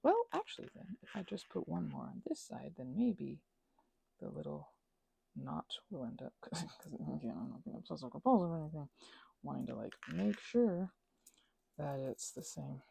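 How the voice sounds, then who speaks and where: A woman with a heavily creaky, husky voice rambles casually in a playful ASMR style. Her pitch swings around as she interrupts herself with asides. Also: huge pitch swings, normal speed, warm tone, dry recording, low-quality recording. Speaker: ASMR and audio creator.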